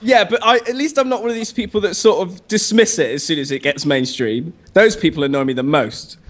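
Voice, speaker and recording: A male radio co-host with an English accent and a fairly high voice for a man. His talk is casual banter with a dry, sarcastic edge. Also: fairly quick, a bit bright.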